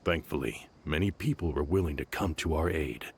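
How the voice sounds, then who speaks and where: A man's voice: very deep voice, a little fast, husky, heavily acted. An actor playing a grim male mercenary who reports in a matter-of-fact way.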